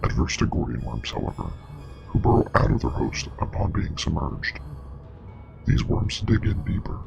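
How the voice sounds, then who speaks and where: A man playing a grave, knowing investigator and parasite expert in a scripted web horror drama, explaining in a measured, clinical way with a breathy, gravelly voice. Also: rumbling low, very animated, lo-fi sound, exaggerated voice. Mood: clinical, ominous. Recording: music playing behind.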